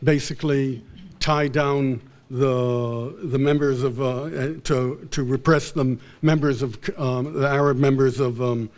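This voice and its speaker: A male professor giving an unscripted academic lecture in a halting way, often repeating and restarting his phrases. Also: medium pitch, steady tone, a little mellow.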